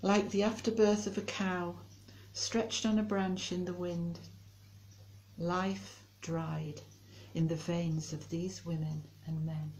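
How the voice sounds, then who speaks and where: A woman recites poetry very slowly in a fairly low, mellow voice. Her delivery is measured, giving each short phrase its own space. There is a faint ambient noise behind her. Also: steady tone, rough recording. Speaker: poetry reader.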